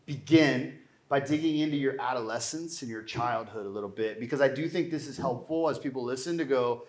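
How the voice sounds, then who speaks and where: A man's voice: mid-range voice, a little fast, a little mellow. A man interviewing a guest in a casual, informal fireside chat, talking in a loose, rambling conversational way. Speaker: interviewer, host. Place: indoor venue live event. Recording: roomy echo of a live venue.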